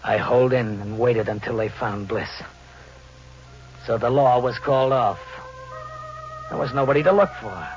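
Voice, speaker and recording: An actor playing a hunted, vengeful drifter in an old radio thriller, talking in tough slang with melodramatic, haunted delivery. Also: male voice, medium pitch, moderate pace, pauses often, over music, lightly acted. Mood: grim, wary. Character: hunted, desperate, vengeful male drifter on the run. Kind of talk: 1940s radio thriller acting with first-person narration. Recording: noisy, muffled old radio recording with hum.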